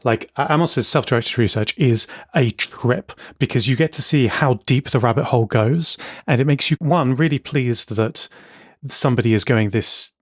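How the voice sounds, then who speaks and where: A man co-hosting a casual podcast, explaining his tools with enthusiasm and quick asides. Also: mid-range voice, no echo, clean studio sound. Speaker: podcast co-host. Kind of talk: casual chat.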